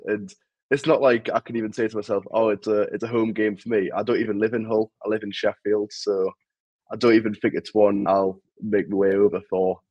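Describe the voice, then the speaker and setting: Geordie man, low voice, dry sound, frank football chat. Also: a little mellow, no room sound, poor audio. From Newcastle, England. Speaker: podcast co-host. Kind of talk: casual football podcast chat.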